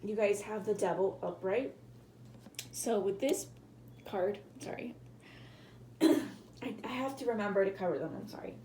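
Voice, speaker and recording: A woman with a hoarse, cracking voice, giving a casual tarot reading to viewers and often apologizing. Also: mid-range voice, lively intonation, a little slow, long pauses, dark tone, echoey room, slight background noise. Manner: chatty, apologetic, hedging with fillers. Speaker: tarot reader.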